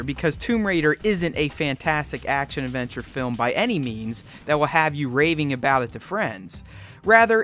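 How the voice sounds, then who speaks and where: A man's voice: a little high, fairly bright tone. A man hosting a film review, reading scripted narration. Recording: music bed behind voice. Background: background music.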